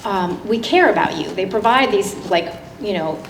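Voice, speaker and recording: A woman giving a public slide presentation in a conversational, halting way with frequent asides. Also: medium pitch, animated. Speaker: guest speaker giving a public talk. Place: indoor public talk venue. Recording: roomy, echoing hall with noticeable noise.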